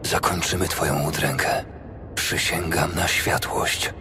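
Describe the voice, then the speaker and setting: An actor playing a resolute, devout human paladin with a solemn, heroic manner in a fantasy audio drama. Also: male voice, very deep voice, fairly even intonation, unhurried, heavy background noise. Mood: solemn vow. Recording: long reverb, music behind.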